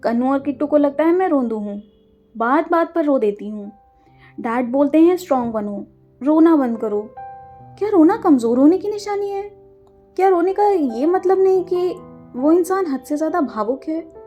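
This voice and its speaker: A woman's voice: high-pitched, expressive, moderate pace, highs cut off, faint music behind, voice pushed up. A woman voicing a sensitive, introverted young girl in an audio story, with an earnest, emotional way of talking. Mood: hurt, defensive. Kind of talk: acted dialogue in audio storytelling.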